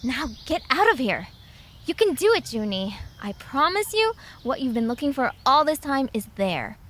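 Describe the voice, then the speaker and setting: A woman playing an excitable, earnest young sister on a quest in an audio drama. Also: sits high, moderate pace, a little hiss or hum. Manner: animated, earnest.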